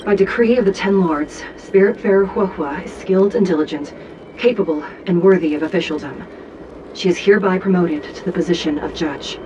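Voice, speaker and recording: A woman playing a stern, composed judge in a video game, speaking in a formal, solemn, measured way. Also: on the low side, dark tone, lo-fi sound, slight background noise, close to an everyday voice. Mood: calm, formal.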